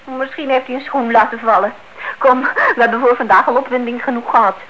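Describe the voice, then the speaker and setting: A sensible working woman acted by an actress looking after her aunt in a radio play. Her voice sits fairly high and she talks politely and practically. The sound is dull, with faint hiss. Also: steady tone, fairly quick, fairly bright tone, lightly acted. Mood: soothing. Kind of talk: Dutch radio play acting. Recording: dull, hissy old radio recording.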